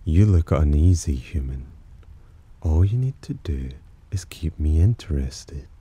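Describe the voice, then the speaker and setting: A man playing a teasing, possessive demon in an ASMR roleplay, with a breathy, husky voice and a smug, theatrical drawl. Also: extremely low pitch, mellow, exaggerated voice. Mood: coy, teasing. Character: teasing, possessive male demon sheltering a human. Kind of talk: ASMR roleplay, slow intimate character acting.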